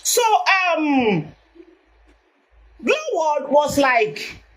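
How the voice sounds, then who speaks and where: Nigerian woman live-streaming: naturally loud, high voice, presses her points hard. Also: expressive, very slow. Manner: emphatic, repeats herself. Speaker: vlogger. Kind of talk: Facebook live video.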